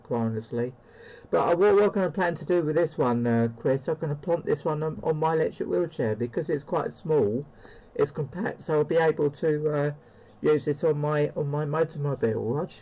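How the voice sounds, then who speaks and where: A man's voice: fairly high pitch, normal speed, warm tone, dull audio. A man chatting casually as he demonstrates a CB radio. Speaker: CB radio operator demonstrating a rig. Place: indoor room with stacked radio equipment. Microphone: handheld CB radio microphone.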